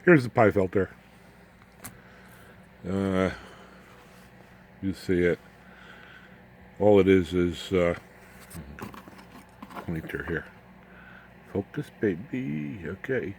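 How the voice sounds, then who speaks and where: Male hobbyist presenter, deep register, folksy and rambling, informal hands-on explainer, some steady noise behind the voice. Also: takes it slowly, creaky, long pauses. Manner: folksy, rambling, jokey asides. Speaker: amateur radio electronics video host. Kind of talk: casual off-the-cuff technical demonstration.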